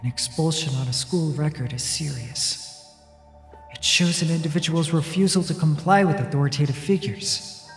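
A man's voice: fairly high pitch, normal speed, vocal fry, roomy sound, long reverb tail, soft background music. A man voicing a wary, anxious teenage schoolboy investigator in a comic dub. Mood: pensive, uneasy. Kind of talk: dramatic comic dub acting with inner monologue.

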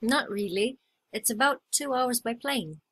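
A young adult woman acting out short scripted everyday dialogues for a listening test, with clear expressive delivery. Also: fairly high pitch, a little slow, slight background noise.

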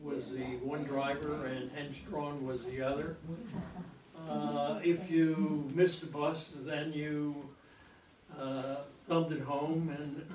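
An older man on a panel, reminiscing in a plain-spoken, halting way. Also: a little high, a little mellow. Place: community meeting room. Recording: echoey room, distant mic, background noise.

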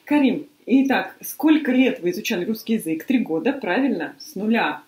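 A woman who is a Russian teacher interviews her former student, asking her questions in a measured way. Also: medium pitch, animated, darkish tone. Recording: echoey room.

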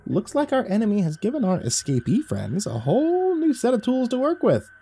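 A man voicing a small, foul-mouthed male squirrel who talks in a high, excitable squeak. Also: brisk, slightly rough, full character voice. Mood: thoughtful. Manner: squeaky, gleeful, crude.

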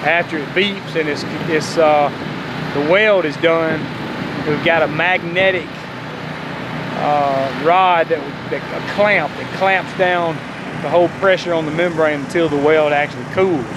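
A middle-aged man with a drawl talks plainly through a job-site walkthrough, his voice sitting a little high for a man. He stops and starts with fillers as he explains each step. Heavy wind and site noise roar around the handheld camera on an open rooftop. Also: unhurried. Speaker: roofing company owner showing a job site. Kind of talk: casual walkthrough explaining work. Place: outdoors on a large flat commercial roof. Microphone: handheld camera at arm's length.

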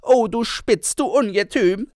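Shrill furious old widow. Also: male voice, a little slow, dry recording, studio quality. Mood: furious scolding. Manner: loud, scolding, exclamatory.

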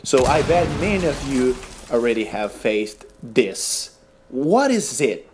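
Animated Brazilian-accented man. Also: mid-range voice, slow, noisy background. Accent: Brazilian Portuguese. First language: Portuguese. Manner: animated, incredulous, laughs at glitches. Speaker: gaming YouTuber. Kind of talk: casual gameplay commentary.

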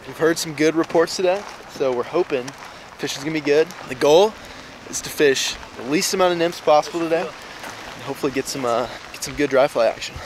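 A man's voice: a little high, noisy background. A man giving an upbeat, plain-spoken introduction outdoors by a river. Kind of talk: casual to-camera intro. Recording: music playing behind.

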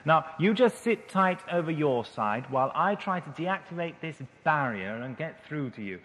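A man's voice: on the high side, sing-song, normal speed, a bit bright. A man playing an eccentric, curious time traveller in an audio drama, musing in a hesitant, donnish way. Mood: calm, musing. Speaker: actor in a fan audio drama. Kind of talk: science fiction audio drama acting. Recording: lo-fi 1980s amateur audio, hissy, sometimes echoey.

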